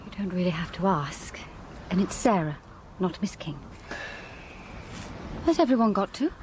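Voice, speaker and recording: An actress playing a cool, independent young doctor in a radio drama, direct and matter-of-fact, with noisy ambience behind. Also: female voice, on the low side, animated, measured pace. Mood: friendly, questioning.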